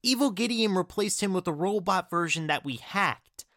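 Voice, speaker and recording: Man acting a lively, flustered, awkward guy. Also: high voice, normal speed, no echo, clean studio sound. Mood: defensive, flustered. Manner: sheepish, hesitant explaining. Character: awkward, flustered, apologetic man reporting mishaps. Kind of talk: audio drama acting.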